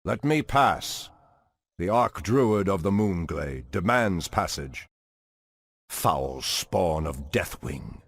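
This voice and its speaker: An ancient elven archdruid speaks in an extremely low, husky voice. His delivery is solemn, formal and theatrical, with broad swings of pitch that suit a noble fantasy game leader. The sound is close and dry. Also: expressive, slow, fairly bright tone, no room sound, exaggerated voice. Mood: stern, angry. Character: ancient immortal night elf archdruid, grave and noble. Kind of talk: fantasy video game character dialogue.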